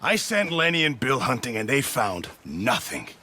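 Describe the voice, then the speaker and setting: An actor voicing a chatty, boastful ex-navy camp cook in a game cutscene, garrulous and folksy. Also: male voice, mid-range voice, very animated, normal speed, pushed and bright, a little hiss or hum. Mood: complaining. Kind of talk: video game cutscene acting.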